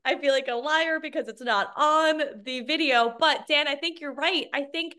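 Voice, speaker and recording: A woman co-hosting a casual aftershow chat over a video call, animated and quick to laugh at herself. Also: sits high. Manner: animated, laughing, self-mocking. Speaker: co-host.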